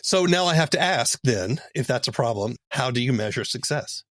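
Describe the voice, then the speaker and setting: A man with a gravelly, husky voice asking conversational interview questions. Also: mid-range voice, expressive, normal speed, fairly bright tone, dry recording, clean studio sound.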